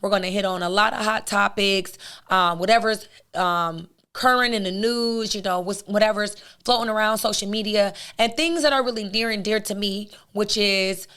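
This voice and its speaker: A woman with a hoarse, raspy voice, hosting her own podcast in a casual, outspoken monologue that talks straight to her listeners. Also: mid-range voice, moderate pace, a bit bright. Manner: chatty, outspoken, addresses listeners directly. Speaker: podcast host.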